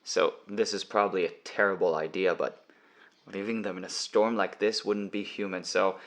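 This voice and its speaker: A man's voice: sits low, steady tone, moderate pace. An actor playing a weary, affable man driving at night in an audio drama, conversational, hesitant and wry. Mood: regretful, hesitant. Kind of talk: audio drama acting, narration and dialogue.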